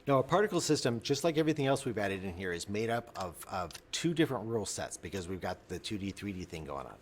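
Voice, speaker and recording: A man giving a casual, step-by-step software tutorial in an informal, enthusiastic way, often interrupting himself as he explains. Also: lowish voice, very animated, fast talker, a little hiss or hum. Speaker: instructor.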